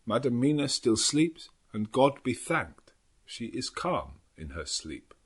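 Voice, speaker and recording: A male narrator plays an elderly professor who hunts vampires in a gothic audiobook. His husky voice is solemn and anguished. He speaks slowly and dramatically, in halting foreign phrases. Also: mid-range voice, sing-song, heavily acted. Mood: grave resolve.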